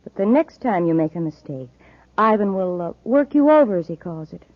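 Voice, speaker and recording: A woman's voice: medium pitch, lively intonation, fairly quick, no echo, lightly acted. An actress playing an imperious, sardonic female criminal boss in old-time radio drama style, giving orders with menacing politeness. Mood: sardonic, threatening. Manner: crisp commands, menacing politeness. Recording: dull, dry vintage radio recording.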